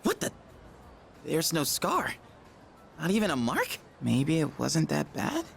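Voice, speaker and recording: A cocky, goofy teenage boy from a video game, with a fairly high, very animated voice. He talks casually and without rush, slipping between awkward earnestness and theatrical boasting, with some music underneath. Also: slow pace, gravelly, exaggerated voice. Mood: surprised, friendly. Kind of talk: English video game voice acting.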